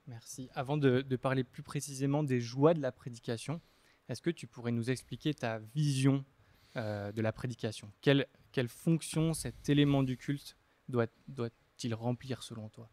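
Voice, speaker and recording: A man's voice: medium pitch, fairly even intonation, normal speed, darkish tone, no room sound. Young man hosting a podcast. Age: young adult. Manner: friendly, scripted intro, clear. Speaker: podcast host. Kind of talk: podcast hosting with sponsor read.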